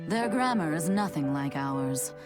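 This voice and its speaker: A woman's voice: low-pitched, animated, unhurried, over loud music. An actress playing an elderly, teasing woman scholar in a video game, musing theatrically over her words. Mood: wry, frustrated. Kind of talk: video game cutscene acting.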